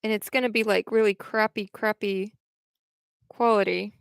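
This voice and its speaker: A young woman talks casually to her livestream chat, wandering through her thoughts with frequent restarts. Her voice is smooth and resonant, and it is recorded close and dry, with no room sound. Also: medium pitch, steady tone, normal speed, no echo. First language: English.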